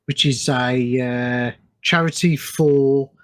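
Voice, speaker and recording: A man of average pitch hosts a casual interview. He responds with appreciation and puts his remarks together haltingly, in short pieces. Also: fairly even intonation. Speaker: podcast host, interviewer.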